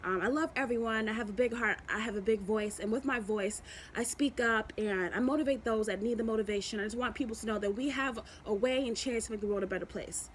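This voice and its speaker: A woman's voice: a little high, fast, a little hiss or hum. A woman vlogging, chatting in a rambling, affectionate way, often repeating herself and restarting. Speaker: YouTube vlogger. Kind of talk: motivational vlog chat.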